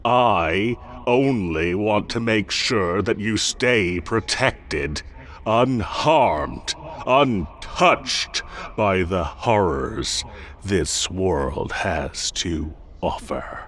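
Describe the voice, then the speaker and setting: A man acts out a possessive fae king in an audio roleplay, speaking extremely slowly in a lowish voice. He is smug and condescending, coaxing with quiet menace and theatrical relish, over faint background noise. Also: lively intonation, heavily acted. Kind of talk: roleplay audio addressed to the listener.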